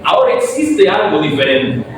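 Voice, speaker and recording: Young Dominican man, speech full of rhetorical questions, high animated voice, distant mic in a very echoey hall. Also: normal speed, background noise. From Dominican Republic. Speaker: philosophy student presenter. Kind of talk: academic speech. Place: event hall before an audience.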